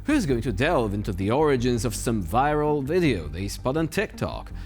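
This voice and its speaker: A male news host delivers scripted satirical commentary with a sardonic edge. His intonation swings dramatically around an average pitch, and music plays behind him. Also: very animated, moderate pace, darkish tone. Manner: sardonic, mocking.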